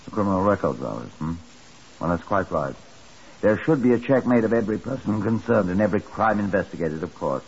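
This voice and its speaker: Courteous, authoritative inspector, 1950s radio drama. Lively inflection, husky low register, measured questioning. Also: male voice, full character voice. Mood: grave, official. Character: shrewd, courteous Scotland Yard chief inspector telling his case. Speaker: police detective and narrator. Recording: muffled 1950s radio broadcast.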